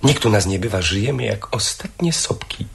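A man's voice: fairly low pitch, expressive, moderate pace, creaky. Sardonic, idle young man. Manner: sardonic, theatrical, drawling interjections. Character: cynical, idle, mocking young bourgeois son. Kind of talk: radio play acting. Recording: old radio recording with faint noise.

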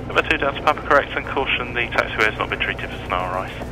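Male air traffic controller, mid-pitched, quick procedural radio delivery. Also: animated, music in the background, low-quality recording. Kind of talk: clipped procedural radio instructions. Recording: aviation radio over background sound.

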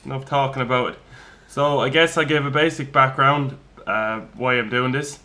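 A man in his twenties with an Irish accent, hosting a casual chat in a flat's kitchen, easygoing and quick to chuckle, heard through one room mic. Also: medium pitch. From Ireland. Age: 20s. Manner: easygoing, chuckles often, loose with fillers. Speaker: podcast host. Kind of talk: casual chat, telling his own story. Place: kitchen of a flat, indoors.

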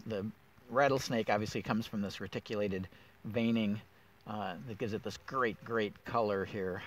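A man's voice: lowish voice, a bit bright. A middle-aged man guiding a casual walk through winter woods, enthusiastic and thinking aloud with plenty of fillers. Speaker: woodland steward host. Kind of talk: casual nature walk guide.

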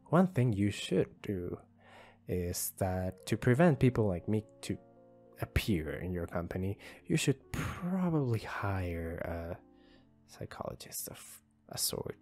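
A young man playing a shy, apologetic employee with a crush, speaking softly and hesitantly in an intimate ASMR roleplay. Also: low-pitched, heavily acted. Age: young adult. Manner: hesitant, soft-spoken, halting. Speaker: voice actor. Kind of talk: ASMR roleplay, intimate one-sided conversation. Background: soft guitar music.

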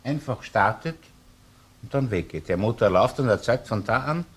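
An actor playing a shrewd middle-aged Viennese chief inspector in a crime drama, thinking a case through aloud. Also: male voice, medium pitch, expressive, moderate pace, breathy edge. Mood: pondering. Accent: Austrian, Viennese. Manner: thinking aloud, tags sentences with "ne". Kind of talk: crime radio play acting.

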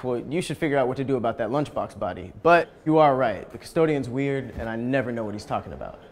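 A man's voice: medium pitch, expressive, quick pace, close to an everyday voice. An actor in his thirties playing a casual male office worker in a comedy sketch. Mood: casual, puzzled. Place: large open-plan office, indoors. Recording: roomy reverb, faint background noise.

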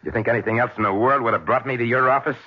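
An American actor in a 1970s radio drama playing a cool, calculating schemer with a husky voice. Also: male voice, mid-range voice, very fast, natural voice. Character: cool, calculating former business partner, male, turned schemer. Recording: dull vintage radio recording.

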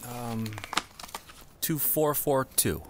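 Brief: a tired everyman, mid-pitch, flat replies, faint hiss behind. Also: extremely slow. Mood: weary. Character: weary homeowner Frank, adult man. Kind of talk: comedy radio play.

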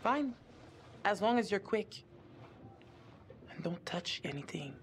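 Frank, guarded boat skipper. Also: female voice, mid-range voice, lively intonation, slow, background noise. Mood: reluctant. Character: wary, practical young woman boat skipper. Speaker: boat captain and dive guide. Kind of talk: video game cutscene acting.

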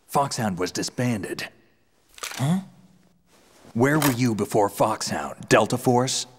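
An actor playing a gruff, seasoned soldier in a video game, guarded, terse and deliberate. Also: male voice, medium pitch, expressive. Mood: guarded, probing.

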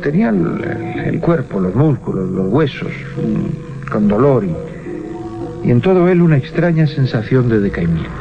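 Un hombre narra un relato de terror radiofónico con suspense y titubeos deliberados. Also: male voice, mid-range voice, big pitch swings, vocal fry. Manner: suspenseful, with deliberate hesitations and repetitions. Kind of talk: dramatic horror storytelling for radio. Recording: dull 1980s radio recording. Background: music.

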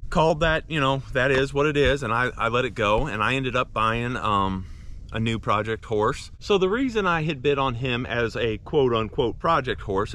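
A man's voice: on the low side, sharp tone, a little hiss or hum. A man in his thirties, a horse trainer, talking earnestly to camera outdoors with many fillers and restarts. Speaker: horse trainer and channel host. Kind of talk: talking to camera, casual update. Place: outdoors in a field by bare trees.

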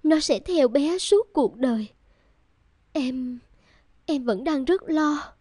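A woman voicing a tender, caring young woman in a cartoon family drama, gentle and heartfelt. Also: sits high, expressive, takes it slowly, no room sound, clean studio sound. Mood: concerned.

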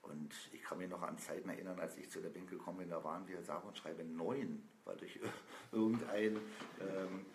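A man with a very low voice chats loosely on a sofa, filling pauses with ums and uhs as he wanders through his thoughts. He is recorded by a camera a few feet away in a small, echoey room with a faint hiss behind him. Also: fairly even intonation. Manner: rambling, with many fillers. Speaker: band member. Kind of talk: casual chat on a live stream. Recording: roomy with faint noise.

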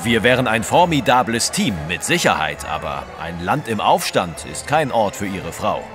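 An actor playing a courteous, competitive English reporter with a formal, proper manner, in a German audio drama. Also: male voice, lowish voice, very animated, moderate pace, over music. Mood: brisk, competitive. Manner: formal, polite, slightly proper.

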